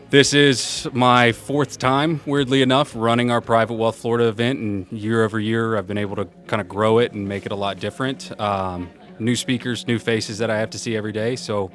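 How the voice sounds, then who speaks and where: A young man with a loud voice, answering interview questions casually at a conference and laughing often. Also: mid-range voice. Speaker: event program manager, interview guest. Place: conference venue.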